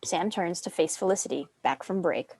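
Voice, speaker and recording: A woman's voice: lowish voice, moderate pace, a little mellow, slight background noise. A young adult woman reading scripted stage directions as a narrator.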